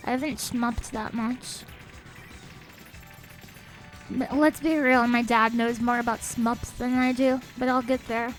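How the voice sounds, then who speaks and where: Young woman, high voice, sniffly, soft-spoken, casual game-stream chat, faint music behind. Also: fairly even intonation, takes it slowly, pauses often, low-quality recording. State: sniffly, a bit stuffed up.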